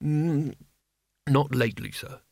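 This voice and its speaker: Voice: medium pitch, slow, gravelly, darkish tone, dry recording, studio quality. A man voicing a nervous, cowardly male wizard underling in an audiobook, hesitant and eager to appease. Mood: nervous, obedient.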